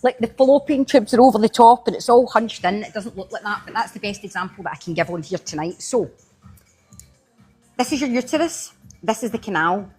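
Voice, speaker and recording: A woman's voice: a little high, expressive, brisk, a little mellow, faint music behind. A woman with a Glaswegian accent hosting a casual live-stream product demo, chatting and thanking viewers by name as she goes. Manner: chatty, answers viewers by name while demoing. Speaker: live-selling host.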